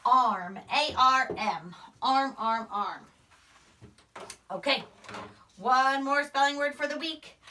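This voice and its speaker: A woman's voice: high-pitched, lively intonation, takes it slowly, long pauses, pushed and bright, a little hiss or hum. A young woman teaching a phonics lesson to young children, sounding out words and letters emphatically, recorded from a few steps away. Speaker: primary school teacher. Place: small indoor room, in front of a whiteboard. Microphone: camera microphone a few steps away. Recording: voice distant from the camera mic.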